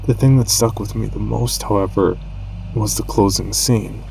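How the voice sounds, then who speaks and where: Male horror storyteller, lowish and fry-laden, solemn, deliberate, pausing for effect. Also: darkish tone, a little hiss or hum, poor audio. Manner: measured, grave, dramatic pauses. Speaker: narrator. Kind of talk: scripted horror story narration.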